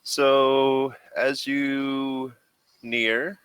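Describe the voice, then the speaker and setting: A man improvising as game master of a tabletop role-playing game, talking casually and haltingly. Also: medium pitch, level tone, a bit bright, clean tone, no room sound.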